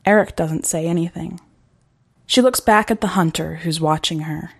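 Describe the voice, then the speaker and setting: A woman narrating a short story in a measured, dramatic way, as a podcast host reading scripted fiction. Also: on the low side, vocal fry, husky, dry recording.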